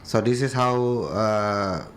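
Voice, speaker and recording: A man's voice: lowish voice, takes it slowly. Indonesian man hosting an English radio show. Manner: friendly, hesitant with many fillers and restarts. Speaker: radio host. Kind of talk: bilingual radio interview.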